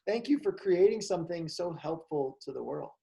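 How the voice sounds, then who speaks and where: A man hosting a psychology show for kids, speaking in a slow, calm, encouraging way. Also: fairly high pitch, vocal fry. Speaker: show host. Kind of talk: gentle talk to children.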